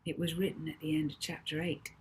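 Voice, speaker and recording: A woman's voice: low voice, little pitch movement, fast, a little hiss or hum. A woman reading a children's novel aloud as its narrator, in scripted audiobook storytelling. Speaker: author reading her own novel.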